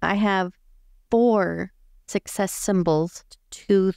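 A woman's voice: medium pitch, very slow, gravelly, darkish tone, smooth, no room sound, studio quality. A woman chatting casually as a player in a tabletop roleplaying game, describing what her character does.

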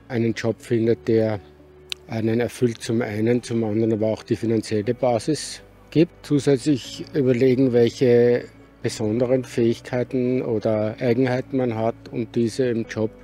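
Older man, dark measured voice. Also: medium pitch. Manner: measured, reflective, some hesitations. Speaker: interviewee. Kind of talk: street interview answer. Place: city street outdoors. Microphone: handheld microphone held by interviewer.

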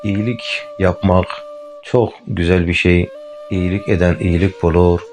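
Male storyteller, deep voice, gentle and unhurried, speaking to a child; faint flute underneath. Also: fairly even intonation. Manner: gentle, unhurried, addresses the listener directly. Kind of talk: telling a fairy tale to a child. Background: faint flute music.

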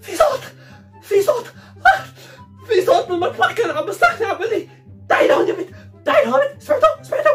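A woman acting a high-pitched, excitable, jumpy Syrian woman. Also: racing, soft background music. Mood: frightened, frantic. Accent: Levantine Arabic, Syrian. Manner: excitable, repeats words insistently. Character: jumpy, stubborn young woman scared of the dark, feigning bravery. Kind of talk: comedic domestic sketch acting.

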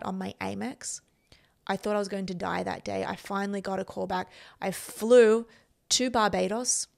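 A woman's voice: medium pitch, gravelly. A woman in her thirties with an Australian accent, telling motivational personal stories in an emphatic, self-assured way, close on a podcast microphone. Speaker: podcast host and performance coach. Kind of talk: motivational personal storytelling to camera. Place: indoor room. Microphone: podcast microphone on boom arm, close up.

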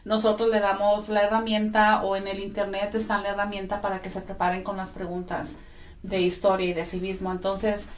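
A woman's voice: medium pitch, flat delivery, fairly quick, a little hiss or hum. A woman in her forties, an immigration legal representative, explains steps in a friendly way, often adding tag questions. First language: Spanish. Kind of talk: informative interview talk. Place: small office with bookshelves, indoors. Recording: echoey office room.

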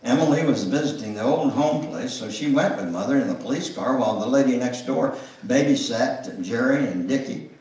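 An older man gives a deliberate dramatic reading of a memoir, with pauses. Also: medium pitch, lively intonation, a little mellow. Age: older, 70s or above. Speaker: guest speaker reading his memoir. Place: church sanctuary, standing at music stand. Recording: echoey church room, distant microphone.

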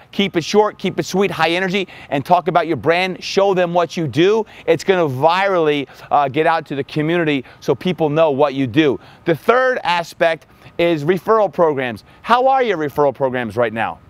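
A man in his forties, a fitness coach, giving energetic marketing tips to the camera outdoors on a lapel mic. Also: fairly high pitch, moderate pace, smooth, no room sound. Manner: energetic, upbeat, talks with his hands. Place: outdoors by a building in sunshine.

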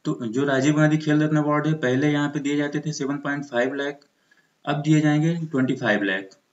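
A man's voice: on the high side, brisk. Echoey, muffled Indian man giving a lesson. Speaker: teacher for exam preparation. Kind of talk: explanatory lecture mixing in English terms. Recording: muffled, echoey room recording.

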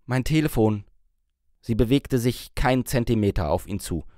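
A man reads a story as an audiobook narrator. His pitch sits in the middle range and his delivery is measured and expressive. The sound is very dry, with little room. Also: no echo.

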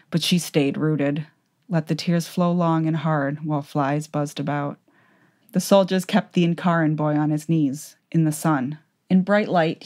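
Low-voiced woman narrating fantasy. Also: a little mellow. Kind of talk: scripted fantasy audiobook narration.

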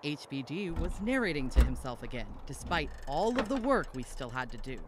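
High-voiced, animated easygoing buddy played by a man. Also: brisk, background noise. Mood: teasing. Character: detective's teasing best friend, easygoing and grumbly. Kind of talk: audio drama acting.

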